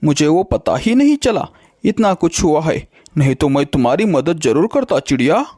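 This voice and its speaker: Man voicing a high-pitched scheming cartoon kite bird. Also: very animated, moderate pace, warm tone, dry recording, studio quality. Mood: falsely friendly. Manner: exclamatory, repeats words, falsely friendly. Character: deceitful scheming greedy male kite bird. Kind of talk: children's cartoon story acting.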